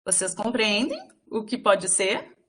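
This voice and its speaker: Halting woman voicing a signed lecture. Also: mid-range voice, big pitch swings, fairly bright tone, slightly rough. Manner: halting, with frequent fillers and restarts while interpreting. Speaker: sign language voice interpreter for a workshop. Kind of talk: live voice interpretation of a lecture.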